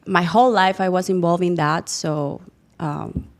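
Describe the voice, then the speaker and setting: A woman from Argentina with an Argentine Spanish accent, a fitness coach guest speaking polite, earnest, slightly halting English. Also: lowish voice, steady tone. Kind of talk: casual podcast interview.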